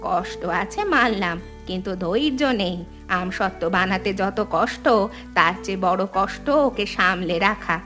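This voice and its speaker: Cartoon grandmother, acted by a woman: elderly, patient village peacemaker, measured and gently scolding, music underneath. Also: medium pitch, lightly acted. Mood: wry, scolding. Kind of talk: animated family drama dialogue.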